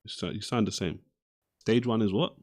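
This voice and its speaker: A man's voice: fairly low pitch, moderate pace, darkish tone. A man arguing in an unscripted live debate as its host, insistently repeating and restarting his phrases.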